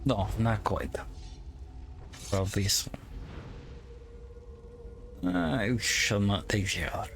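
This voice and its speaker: A man voicing an aloof, proud cat-folk adventurer in formal, measured speech as game roleplay. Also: lowish voice, a bit bright, a little hiss or hum, heavily acted. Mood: aloof, musing. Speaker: gamer playing in character. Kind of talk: in-character roleplay game commentary. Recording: game music behind the voice.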